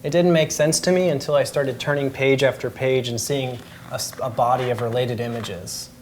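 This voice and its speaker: A woman's voice: rumbling low, steady tone, normal speed, darkish tone, a little hiss or hum. A woman talking casually and informally as a host and photographer discussing pictures. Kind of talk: casual chat. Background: faint music.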